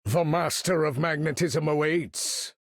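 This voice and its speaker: A man's voice: on the high side, measured pace, no echo, clean studio sound. A man voicing a proud, imperious older mutant master of magnetism in a video game, speaking in a grandiose, formal, commanding way. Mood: disdainful, proud. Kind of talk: theatrical game character voice lines.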